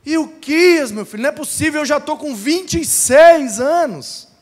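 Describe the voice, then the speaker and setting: A man's voice: very high-pitched, animated, unhurried, voice pushed up. Man playing a distraught young king in a reverberant hall. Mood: incredulous. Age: young adult. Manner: exclaiming, repetitive, impassioned questioning. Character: distraught young king, aged twenty-six, pleading and fearful.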